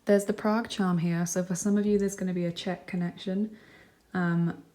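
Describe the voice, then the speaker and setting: A woman with a lowish, mellow voice talks through a tarot and charm reading. She speaks gently and reassuringly, musing aloud with frequent ums and likes. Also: steady tone, normal speed. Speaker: tarot reader. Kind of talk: pick-a-card tarot reading.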